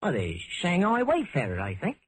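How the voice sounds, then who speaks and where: A garrulous, greedy little Cockney barman, eager to please. His highish voice swoops wildly up and down in a vintage radio play. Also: huge pitch swings, normal speed. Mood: chatty, cagey. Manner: garrulous, swooping, servile patter. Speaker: bartender. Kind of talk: old-time radio character acting. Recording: vintage radio drama recording.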